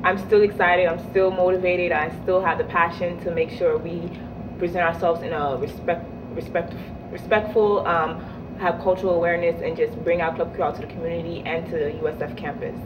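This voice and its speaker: A woman's voice: fairly low pitch, steady tone, a little fast, muffled recording, background noise. A young woman, a student club vice president, giving upbeat, determined answers in an interview. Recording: distant voice with music behind.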